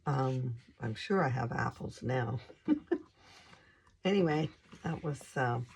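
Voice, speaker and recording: A hoarse woman hosting a craft channel, casually showing her scrapbook pages in an unhurried, hesitant way with lots of ums. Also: very deep voice, lively intonation, a little mellow, slight background noise.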